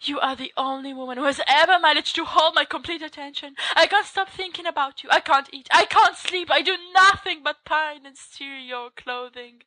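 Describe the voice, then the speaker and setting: A flamboyant teenage wizard schoolboy pours out overblown devotion in a raised, bright voice, as a woman reader puts him on. He is all dramatics and gush, and the sound stays close and dry. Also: sits high, moderate pace, hardly any pauses, no room sound, voice pushed up, poor audio. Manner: theatrical, gushing, lovestruck declarations.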